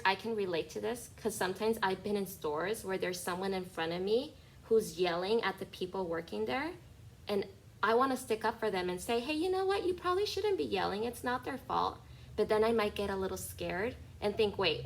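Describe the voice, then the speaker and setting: A woman kindergarten teacher reading a picture book aloud to young children, expressive and instructive, speaking directly to her listeners on an online class call. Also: medium pitch, a little hiss or hum, poor audio.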